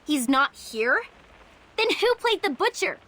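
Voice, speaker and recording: A brash young female film director for a video game. Her voice is very high and bright, with a hard edge. She is snappy, combative and quick to temper. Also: big pitch swings, heavily acted. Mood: confused, alarmed. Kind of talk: dramatic video game voice acting.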